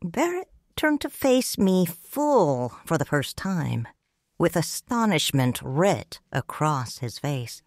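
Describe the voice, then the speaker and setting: A woman narrating a story in first person, calm and reflective. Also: sits low, slow pace, no room sound, studio quality.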